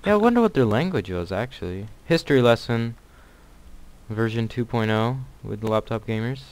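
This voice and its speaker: A man's voice: mid-range voice, expressive, moderate pace, darkish tone. A young man co-hosting a podcast in casual chat, with a dry, laconic, teasing way of talking. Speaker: podcast co-host.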